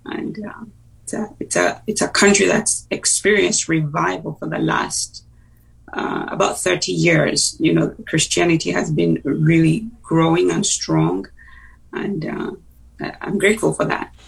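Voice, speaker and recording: Woman in her forties, husky voice, reflective guest speaking slowly, with fillers. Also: fairly low pitch, fairly even intonation, far from the mic, poor audio. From Uganda. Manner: thoughtful, unhurried, with frequent pauses and fillers. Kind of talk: personal testimony in a talk-show interview.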